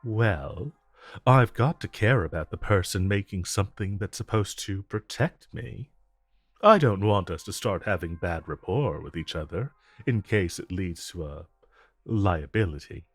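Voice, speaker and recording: A man playing a suave, flirtatious supervillain in a romantic audio roleplay, talking in a smooth, teasing, unhurried way with drawn-out pauses. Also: deep voice, animated, no room sound, heavily acted. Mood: flirty, reassuring. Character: suave, flirtatious male supervillain visiting his tailor. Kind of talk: romantic audio roleplay acting addressed to the listener.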